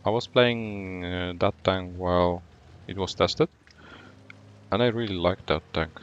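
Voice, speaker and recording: A man from Poland with a Polish accent, streaming a game and chatting with viewers in a relaxed way, often pausing to think. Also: low voice, lo-fi sound. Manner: relaxed, thinking aloud with fillers. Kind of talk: gameplay commentary and chat replies.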